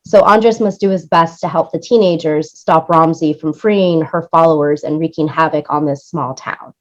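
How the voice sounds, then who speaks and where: Woman w/ a low voice, chatty interview guest explaining stuff, kinda dull lo-fi audio. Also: normal speed. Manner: chatty, explanatory, often tags points with "right?". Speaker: interview guest, music supervisor and producer. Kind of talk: conversational interview talk.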